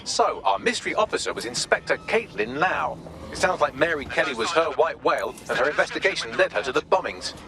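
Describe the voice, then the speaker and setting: A man's voice: a little high, animated, brisk, creaky, background noise. A man voicing a sardonic AI assistant in a video game, briefing in an articulate, dryly sarcastic way. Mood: wry. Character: wry, sardonic male AI assistant. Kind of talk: scripted game dialogue, briefing.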